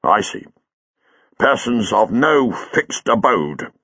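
A man's voice: on the low side, very animated, slow, sharp tone, breathy edge, no echo, highs cut off, full character voice. A man voicing a patient, stolid Victorian police sergeant. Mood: dogged, patient.

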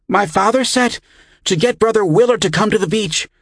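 Audiobook role: man as small village boy, breathless and eager, voice lifted high, urgent delivery. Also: expressive, unhurried, dry recording, studio quality. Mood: breathless, alarmed. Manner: urgent, blurting. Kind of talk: audiobook character voice.

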